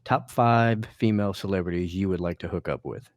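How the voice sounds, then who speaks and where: A man's voice: low-pitched, brisk, dry recording. A man co-hosting a podcast in casual, joking banter, teasing and playfully bossy. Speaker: podcast co-host.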